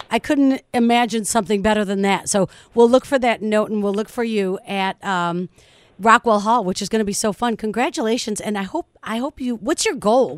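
A woman's voice: on the high side, no echo. A woman radio host running a morning show interview, chatty and enthusiastic. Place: radio studio.

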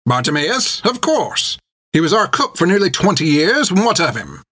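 A man voicing an elderly, stern and venomous nun, speaking in a clipped, snappish way, raised in pitch. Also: very animated, normal speed, pushed and bright, slightly rough, heavily acted. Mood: indignant. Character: old sharp-tongued nun, stern and venomous.

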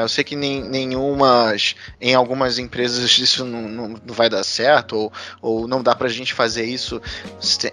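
Bright-voiced man, halting delivery. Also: medium pitch. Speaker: panel participant. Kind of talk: casual discussion.

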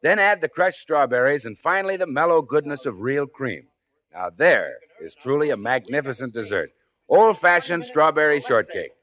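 A man's voice: a little high, lively intonation, normal speed, a bit bright, lo-fi sound. A man working as announcer and sidekick in a scripted 1950s radio style.

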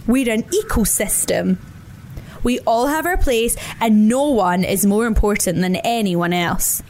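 A woman voicing a huge, grumpy old male bear who talks slowly in a low, booming way. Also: lively intonation, darkish tone, slight background noise. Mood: amused. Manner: slow, grumbling, then laughing.

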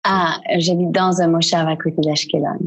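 A woman from Quebec answering interview questions casually and hesitantly, searching for words, with a husky voice. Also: fairly low pitch, flat delivery, moderate pace. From Quebec, Canada. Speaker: guest, street artist. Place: at home in her dining room.

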